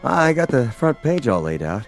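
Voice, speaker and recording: An actor in a radio drama playing a loyal working-class newspaper typesetter with a folksy, plain-spoken manner. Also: male voice, medium pitch, big pitch swings, normal speed, gravelly, soft background music. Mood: nervous, hesitant.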